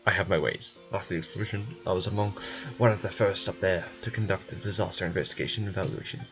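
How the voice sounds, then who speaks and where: Man playing a low, grave game researcher. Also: over music. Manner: measured, formal, expository.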